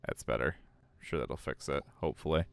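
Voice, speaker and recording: A man's voice: rumbling low, fairly quick, gravelly, clean tone, faint music behind. A man who fan-dubs games on stream, making laid-back, muttered asides and swearing casually while fixing his audio.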